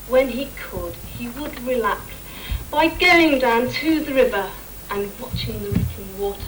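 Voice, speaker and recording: Female pantomime narrator, highish voice, measured rhyming storytelling, distant and noisy amateur stage recording.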